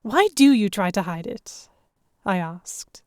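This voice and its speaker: A woman playing a sharp-tongued young lady hostage among pirates, narrating her own story in an expressive, introspective way. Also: mid-range voice, takes it slowly, no echo, clean studio sound. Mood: teasing. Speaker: narrator and lead character. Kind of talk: first-person audio drama narration.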